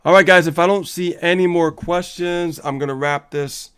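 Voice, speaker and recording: A man's voice: a little high, steady tone, normal speed, a bit bright, clean tone. A man co-hosting a casual live coaching stream, upbeat and motivational, laughing often and reading viewer comments aloud.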